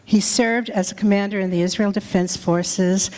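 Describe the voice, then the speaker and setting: A woman's voice: medium pitch, fairly even intonation, moderate pace, a little mellow. Woman emcee introducing a speaker in a hall. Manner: reads a scripted introduction, trips over words. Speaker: event emcee. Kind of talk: formal introduction. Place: large banquet hall. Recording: reverberant banquet hall.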